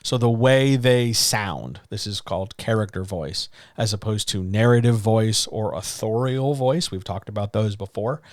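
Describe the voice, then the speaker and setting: A man's voice: unhurried, hardly any pauses, no echo. Chatty man coaching writers, dry close sound. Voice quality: deeper voice. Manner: loose, conversational, full of fillers like so, like and um. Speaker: writing coach. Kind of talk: live-streamed Q&A lesson.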